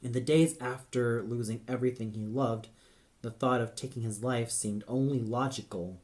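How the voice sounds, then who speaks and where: A man narrating a dramatic audio story in a grave, deliberate manner. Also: mid-range voice, fairly even intonation, darkish tone.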